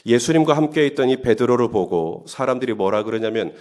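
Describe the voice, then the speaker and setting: A man's voice: medium pitch, lively intonation, a little fast, darkish tone. A male pastor preaching a Holy Week sermon. He talks in a conversational way and builds up to emphatic questions. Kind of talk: sermon at early-morning Holy Week service.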